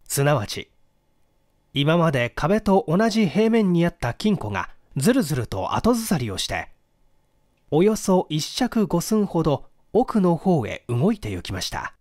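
A man reads a literary mystery aloud like a dramatic storyteller. His intonation rises and falls widely, yet the phrasing stays measured and formal. The recording is close and fairly dry. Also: a little high, sing-song, a little mellow, dry recording. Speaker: audiobook reader, stage actor. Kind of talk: scripted mystery story narration, literary reading.

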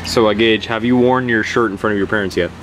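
A man's voice: fairly low pitch, quick pace, background noise. A young man filming his friends outdoors at night, speaking hesitantly and filling his talk with uhs and likes. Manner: hesitant, filler-heavy, lively. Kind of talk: casual banter. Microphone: holding the camera.

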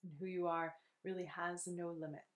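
A woman leading a guided meditation in a soothing, unhurried, gently instructive way. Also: lowish voice, level tone.

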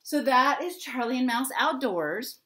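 A middle-aged woman speaking to young children in an expressive, conversational way, with emphatic pauses, as an author reading and explaining. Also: high-pitched. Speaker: children's author reading her book and giving a writing prompt. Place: small indoor home room.

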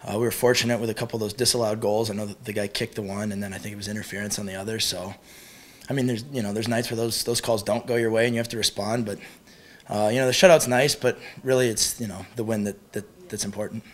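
A man's voice: on the low side, fairly even intonation, fast. A young American man, a college hockey goalie, answering press questions with many "you know" fillers and repeated words. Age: young adult.

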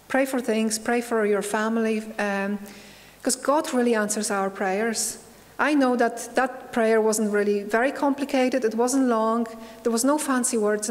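A middle-aged woman from Poland with a Polish accent, giving an earnest personal testimony at a church pulpit, with many fillers and restarts. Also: on the high side. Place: church hall at a wooden pulpit. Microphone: pulpit microphone. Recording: long hall reverb from a church.

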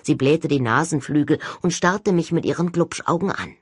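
Slightly low, husky woman narrating an audiobook. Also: moderate pace, no room sound, clean studio sound. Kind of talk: scripted audiobook narration, first person.

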